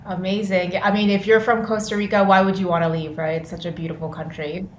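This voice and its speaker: A woman's voice: medium pitch, flat delivery, fast. Woman podcast host in an echoey room. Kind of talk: upbeat interview with quick questions. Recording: roomy echo, faint noise.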